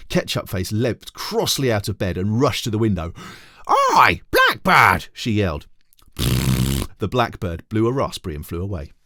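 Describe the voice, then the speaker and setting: A man, a teacher, reading a children's storybook aloud in an animated narrating style. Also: on the low side, normal speed, creaky, no room sound, clean studio sound.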